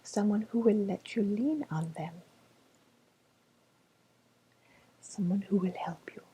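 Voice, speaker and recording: A woman playing a soft-spoken fortune teller in an ASMR role-play, with a made-up foreign accent and a gentle, hesitant, whispery delivery. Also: medium pitch, breathy edge. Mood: soothing, earnest. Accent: made-up, indeterminate foreign. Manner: slow, hesitant, gently reassuring, with pauses. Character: softly spoken mystical fortune teller woman reading tarot cards.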